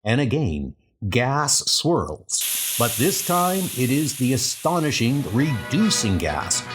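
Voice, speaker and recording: A man narrates a comic-book adventure in a dramatic scripted style. His pitch moves a lot and the pace is measured, with loud music underneath. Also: very animated.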